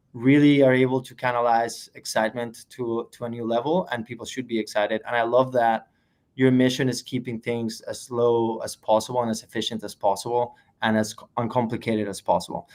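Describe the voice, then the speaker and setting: A man with a mid-pitched voice hosts an interview over a remote call. He reads out questions and speaks in an upbeat, complimentary way toward his guest, with plenty of "you know" fillers. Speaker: podcast host. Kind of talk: interview hosting.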